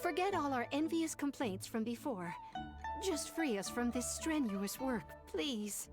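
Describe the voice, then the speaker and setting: A worn-out young clerk, pleading in a weary tone. Her voice sits high, and game music plays behind her. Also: animated, a little slow. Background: game background music.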